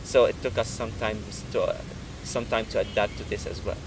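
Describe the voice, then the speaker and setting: Young Syrian-born student, lowish voice, hesitant, heard from afar amid street noise. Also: male voice, fairly even intonation. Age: young adult. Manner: measured, hesitant with fillers. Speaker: student interviewee. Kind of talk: interview answers. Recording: distant mic with street noise. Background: street traffic.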